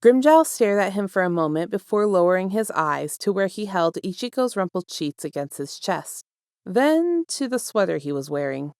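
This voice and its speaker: A woman's voice: medium pitch, sing-song, normal speed, a little mellow, no echo, clean studio sound. A woman reading fan fiction aloud as a storyteller and speaking to her listeners. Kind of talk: scripted story narration, casual outro.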